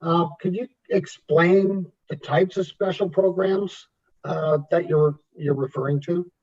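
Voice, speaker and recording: A man on a committee asking a question in an online meeting, halting with "uh" fillers. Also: high-pitched, steady tone, darkish tone, muffled recording.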